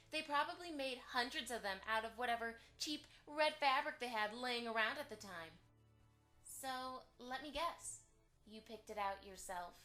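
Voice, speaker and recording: Woman as snooty young unicorn girl, spiteful, condescending, sharp bright tone, audio drama. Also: a little high. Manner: condescending, haughty. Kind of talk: amateur audio drama acting.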